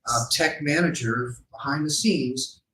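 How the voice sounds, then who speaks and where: Middle-aged man, school principal as interview guest. Hesitant delivery, mid pitch, faint noise behind him. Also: unhurried, fairly bright tone. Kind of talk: conversational interview answers. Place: small studio room, live recorded show. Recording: roomy, distant mic, faint background noise.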